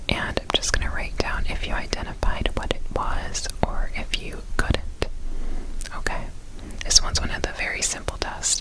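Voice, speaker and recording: A woman whispering softly and soothingly in an ASMR roleplay as an examiner. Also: rough recording. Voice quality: soft unvoiced whisper. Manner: soothing, repeats trigger words slowly.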